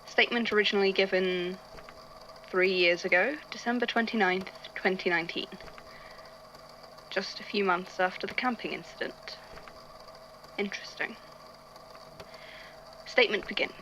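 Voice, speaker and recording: A woman playing a testy male archivist who reads eerie witness statements aloud in an audio drama, in a measured storytelling delivery. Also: mid-range voice, fairly even intonation, pushed and bright, highs cut off, slight background noise, close to an everyday voice. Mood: businesslike.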